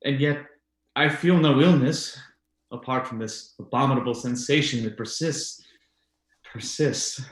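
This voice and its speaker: A man's voice: mid-range voice, lightly acted. Man playing an uneasy, rational husband, roomy echo. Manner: formal, measured, halting when uneasy. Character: reasonable, uneasy husband, denier of the supernatural. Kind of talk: old-time radio drama acting. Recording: roomy, echoey voice recording.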